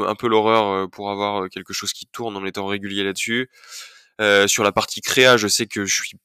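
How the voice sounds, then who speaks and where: A man's voice: lowish voice, pushed and bright, smooth, dry recording, studio quality. A young man hosting his own podcast while he has a cold, talking casually with many fillers and restarts. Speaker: podcast host. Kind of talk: solo podcast chat.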